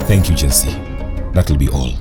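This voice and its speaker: A man's voice: very deep voice, a little slow, over loud music. A man playing a cold, powerful scheming boss in a motion comic, curt and menacing. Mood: curt, commanding. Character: cold, powerful male villain, scheming land-grabbing boss. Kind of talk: motion comic villain acting.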